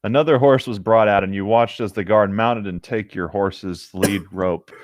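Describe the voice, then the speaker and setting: A man's voice: on the low side, moderate pace, dry recording. A man reading a story aloud as co-host, slipping easily into casual, joking chat. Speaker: co-host of a fan fiction reading show.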